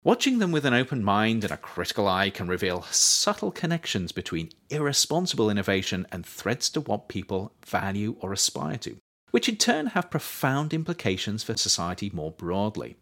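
A man reading his own nonfiction book aloud as scripted audiobook narration, with measured, emphatic phrasing. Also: lowish voice, very animated, a bit bright.

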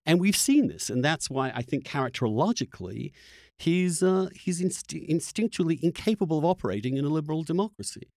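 A man's voice: on the high side, lively intonation, a little fast, darkish tone, no echo, clean studio sound. A man arguing emphatically in a heated political debate, pressing his points and cutting in. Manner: emphatic, argumentative, interrupting. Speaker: podcast host debating a guest.

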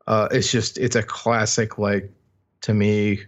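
Guy w a kinda low voice chatting about albums on a podcast, loose and conversational. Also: flat delivery, slow pace. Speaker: music podcast co-host. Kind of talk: casual album review chat.

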